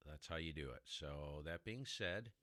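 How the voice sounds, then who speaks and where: A man with a very low baritone voice hosting a casual ham radio tutorial, rambling and sidetracking as he explains, recorded in a heavily dampened room. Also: lively intonation, measured pace, bright, forceful tone, no echo. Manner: rambling, self-interrupting explainer with mic tests. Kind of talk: casual how-to demonstration.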